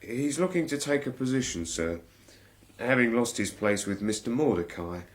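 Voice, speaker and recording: An actor playing a deferential, plain-spoken manservant in a period radio drama. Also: male voice, medium pitch, animated, normal speed, lightly acted. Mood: hesitant, respectful. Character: deferential, plain-spoken manservant asking favours, also narrating.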